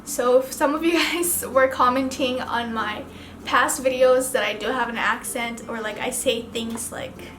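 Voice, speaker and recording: A woman's voice: on the high side, steady tone, measured pace, fairly bright tone, echoey room, slight background noise. A young woman from the Philippines with a Filipino accent, chatting casually through a makeup vlog, full of filler words. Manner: rambling, casual, lots of "like" fillers. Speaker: beauty vlogger.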